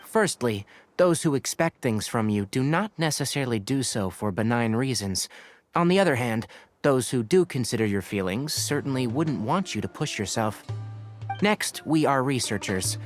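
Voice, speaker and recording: A level-headed young male scholar acted by a man from an anime-style game. He speaks frankly and articulately, with lively pitch movement and a gently chiding manner. Music is clearly audible behind him. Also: moderate pace, lightly acted. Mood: frank, advising. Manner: articulate, matter-of-fact, advising.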